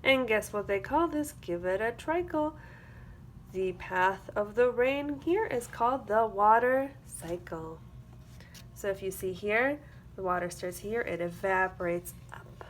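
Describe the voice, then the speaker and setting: A woman's voice: medium pitch, a little hiss or hum. A woman teacher reading a picture book aloud to young children, expressively, with simple explanatory asides. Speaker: early-grades classroom teacher.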